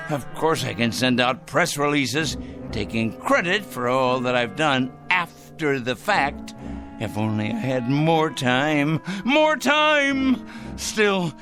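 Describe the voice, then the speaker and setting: An actor in a radio drama playing a paranoid, gloating crank who rambles theatrically to himself. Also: male voice, a little high. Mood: scornful, fretting. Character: gleeful paranoid male crank plotting a moral purge.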